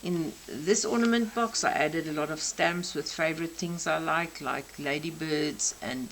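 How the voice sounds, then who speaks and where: A South African woman in her sixties, a native Afrikaans speaker, gently explaining each detail of her craft journal. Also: deep voice, a little slow, slight background noise, rough recording. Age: 60s. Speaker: craft channel host. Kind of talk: crafting show-and-tell flip-through.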